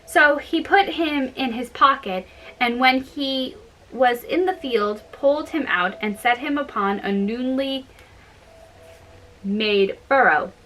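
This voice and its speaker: Female storyteller, higher-pitched and very bright, halting read-aloud of fairytales. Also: a little hiss or hum, rough recording.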